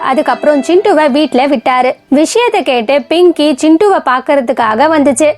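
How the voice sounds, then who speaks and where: A high-voiced woman reads a children's story as narrator. Her delivery is scripted storytelling, with light music in the background.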